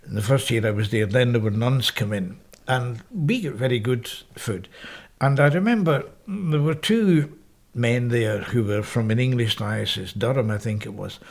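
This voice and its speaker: A man's voice: medium pitch, normal speed, vocal fry. A man in his seventies with a Scottish accent, recalling his school days in a reflective, wry interview, often correcting himself mid-sentence. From Scotland. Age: 70s. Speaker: interviewee, professor. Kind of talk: oral history interview, reminiscing. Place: parish house room, indoors.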